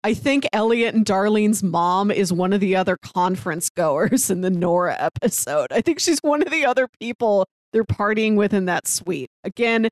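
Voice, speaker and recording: A woman's voice: medium pitch, expressive, moderate pace, few pauses, no room sound. A woman of about thirty co-hosting a podcast, chatting casually about television. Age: 30s. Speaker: podcast co-host. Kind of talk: casual TV discussion chat.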